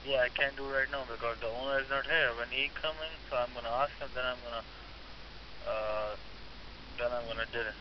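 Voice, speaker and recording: A man's voice: mid-range voice, steady tone, fairly quick. A man working in a store, talking on the phone in hesitant, non-native grammar. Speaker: store worker. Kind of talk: phone conversation. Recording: dull lo-fi phone line, clear hiss.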